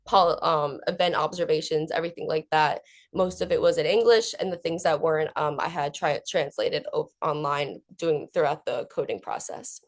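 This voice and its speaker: A woman's voice: sits low, normal speed. A young woman student giving an academic conference presentation of research in an earnest, informal way. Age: young adult.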